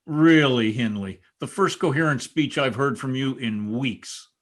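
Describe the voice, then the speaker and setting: An actor playing a cruel, tormented gothic master in an old-time radio drama, sardonic, brooding and theatrical. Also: male voice, medium pitch, unhurried, close to an everyday voice.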